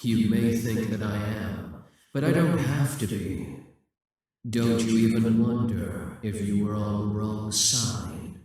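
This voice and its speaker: A man playing a brooding, possessive demon king in a scripted audio roleplay, speaking deliberately with condescending grandeur. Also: fairly low pitch, darkish tone. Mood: brooding, persuasive. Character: possessive, brooding demon king risen from death, seductive and imperious. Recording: echoing, reverberant, distant from the mic.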